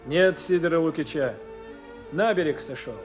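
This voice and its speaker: A reflective young sailor played by a male actor speaks in a notably high voice. The delivery is lyrical and expressive, as in an old 1950s Soviet radio drama, with faint music underneath. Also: moderate pace, close to an everyday voice. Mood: curious. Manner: expressive, literary, wistful. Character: reflective young sailor narrating his own memories.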